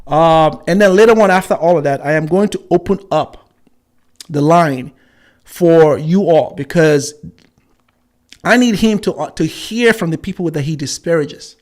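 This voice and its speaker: Man in his forties, high voice, combative live-stream host, repeats himself, lots of ums. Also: unhurried, a little mellow. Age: 40s. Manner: combative, repeats himself for emphasis, frequent fillers. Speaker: channel host.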